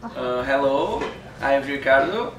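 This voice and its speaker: A man, a software developer, speaking haltingly. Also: mid-range voice, animated. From Brazil. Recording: roomy, distant mic. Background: background music.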